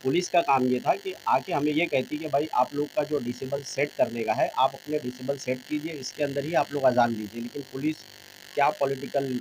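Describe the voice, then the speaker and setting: Insistent Mumbai man, mid-pitched. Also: fairly even intonation, brisk, a little hiss or hum, rough recording. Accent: Mumbai Hindi. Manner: insistent, repetitive. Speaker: local mosque representative being interviewed. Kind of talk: interview statement, public appeal.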